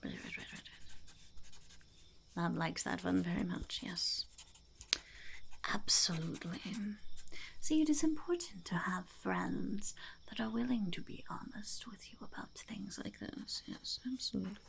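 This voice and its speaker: Woman whispering as a gravelly, creaky goblin shopkeeper. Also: mid-range voice, lively intonation, a little slow, long pauses. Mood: musing, approving. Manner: musing, hesitant, repeating phrases to herself. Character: blunt, earnest female goblin shopkeeper peddling unwelcome life advice. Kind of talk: ASMR roleplay, whispered and soft-spoken.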